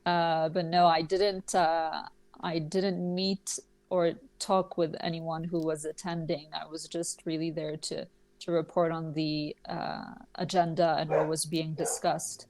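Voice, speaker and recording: Podcast guest from Switzerland: low, monotone woman, measured and explanatory. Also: a little hiss or hum. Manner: measured, explanatory, with many fillers. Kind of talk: podcast interview.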